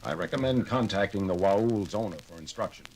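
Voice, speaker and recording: An actor playing a calm, logical male science officer who speaks in a measured, precise and formal way. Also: fairly low pitch, natural voice, low-quality recording. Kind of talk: 1970s audio drama acting.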